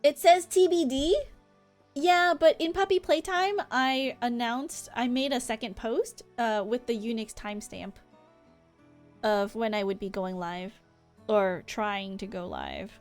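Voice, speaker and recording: High-voiced woman livestreamer over music. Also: expressive, measured pace. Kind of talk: live game stream, chatting and reading dialogue aloud.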